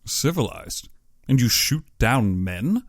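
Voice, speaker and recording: A man's voice: medium pitch, sing-song, slow pace, no room sound. Guy doing a wary hunter guest in a story, indignant but restrained, short lines, really dry audio. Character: uneasy, indignant male hunter held as guest.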